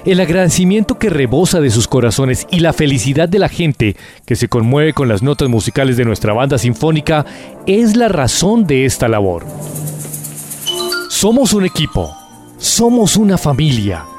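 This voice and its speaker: Male narrator, solemn, lyrical, scripted, higher voice, very animated pitch. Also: normal speed, over music. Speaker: news narrator.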